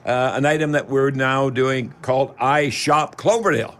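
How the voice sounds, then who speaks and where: Guy hosting a radio talk show, folksy, kinda halting, chatting to the listeners. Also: mid-range voice, steady tone, a bit bright. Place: radio studio.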